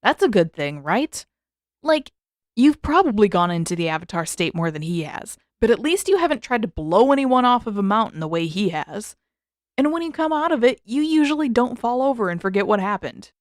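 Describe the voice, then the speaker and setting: A woman's voice: medium pitch, sing-song, brisk, no echo, studio quality, lightly acted. A woman voicing a brash, defensive, sarcastic teenage boy in a fan fiction audiobook, with a blunt, casual, emphatic delivery. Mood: matter-of-fact, insistent.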